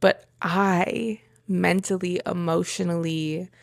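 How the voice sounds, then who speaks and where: A woman giving a reflective personal monologue in halting phrases, with pauses and emphatic repetition. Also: lowish voice, fairly even intonation, vocal fry, no echo, clean studio sound. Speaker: vlogger sharing a personal journey.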